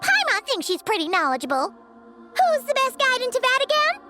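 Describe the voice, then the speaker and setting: A woman voicing a chirpy, cheeky little fairy girl in a video game, squeaky and excitable. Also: moderate pace, loud music behind the voice, exaggerated voice. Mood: boastful. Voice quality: squeaky, childlike. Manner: speaks of herself in third person, excitable. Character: tiny floating childlike fairy girl companion, chirpy and cheeky.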